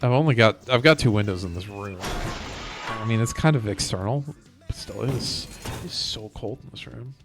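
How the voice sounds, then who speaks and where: A man streaming a video game, chatting casually and rambling as he thinks out loud. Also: lowish voice, normal speed. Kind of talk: casual live gameplay commentary. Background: game music.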